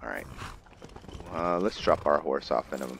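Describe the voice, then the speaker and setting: A man's voice: very deep voice, moderate pace, a little hiss or hum. A man narrating his video game roleplay casually, thinking aloud in fragments. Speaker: gaming video host roleplaying a character.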